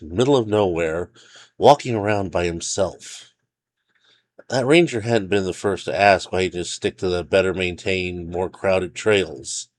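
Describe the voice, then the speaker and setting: A man reading his own fiction aloud as a scripted story narrator. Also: deep voice.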